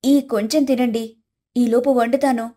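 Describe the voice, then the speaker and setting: A dutiful young daughter-in-law, played by a woman, speaking high. She is polite and apologetic, a deferential wife in a cartoon family story. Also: fairly even intonation, unhurried, warm tone.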